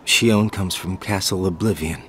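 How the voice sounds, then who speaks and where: Low-voiced, laid-back protective friend. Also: male voice, steady tone, measured pace, fairly bright tone, slight background noise. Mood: pensive. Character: laid-back, protective older-brother type young man. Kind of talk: video game cutscene voice acting.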